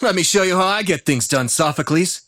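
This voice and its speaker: A man's voice: high-pitched, lively intonation, a little fast, fairly bright tone. A man voicing a cool, confident young gym leader in anime-style game lines. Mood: confident, spirited.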